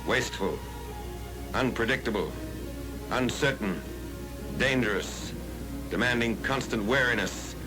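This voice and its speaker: A man with an American accent reading scripted 1940s wartime newsreel narration in a grave, measured, oratorical way, on a vintage film soundtrack. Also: mid-range voice, music in the background.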